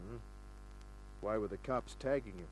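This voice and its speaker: An actor playing a cool, sardonic private detective in an old-time radio crime drama. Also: male voice, lowish voice, moderate pace, a little hiss or hum, natural voice, low-quality recording. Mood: cool, guarded. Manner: dry, sardonic, unruffled.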